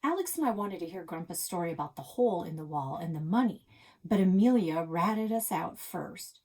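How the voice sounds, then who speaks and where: A woman's voice: on the low side, expressive, moderate pace, a little mellow, slightly rough. A woman reading a children's novel aloud as its first-person narrator, expressively, leaning on key words. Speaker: read-aloud reader for a public library.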